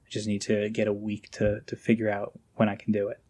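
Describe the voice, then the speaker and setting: Man chatting casually, even and mellow. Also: fairly low pitch, brisk. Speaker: podcast guest and voice actor. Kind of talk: casual remote chat. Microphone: internet call.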